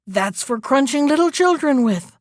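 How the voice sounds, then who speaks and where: Cunning storybook wolf playing frail grandmother, sly and coaxing, very animated intonation, acted by a woman. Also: high voice, normal speed, no echo, clean studio sound, voice pushed up. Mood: slyly menacing. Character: cunning male wolf disguised as an old granny.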